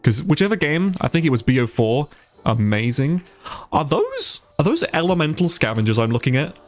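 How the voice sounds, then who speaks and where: Male let's play YouTuber, bunged up with a cold, lively melodic delivery, loose, profane and readily impressed, light music underneath. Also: on the high side, moderate pace. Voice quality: congested, stuffy-nosed. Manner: casual, sweary, easily amazed. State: sick with a stuffy nose. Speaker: gaming YouTuber, player. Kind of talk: casual let's play commentary.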